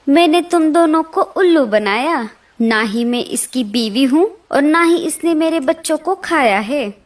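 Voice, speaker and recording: A woman voicing a clever, brave mother sparrow in a children's cartoon story, dramatic and pleading. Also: sits high. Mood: triumphant.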